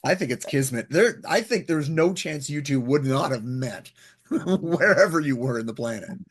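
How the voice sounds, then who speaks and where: A man's voice: a little high, expressive, normal speed, breathy edge. A man hosting an interview show in a conversational style, putting questions to guests.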